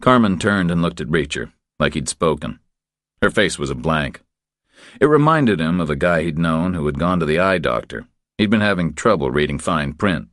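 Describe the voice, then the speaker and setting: A man's voice: a bass voice, lively intonation, moderate pace, a bit bright, no echo, studio quality. A man reading a crime thriller audiobook as scripted narration.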